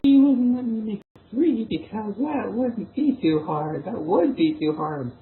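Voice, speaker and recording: A woman voicing a bossy, exasperated young inventor in an amateur fan fiction reading, halting and irritated. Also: mid-range voice, lively intonation, a little hiss or hum, lightly acted. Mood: bossy, annoyed. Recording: tinny, band-limited amateur recording.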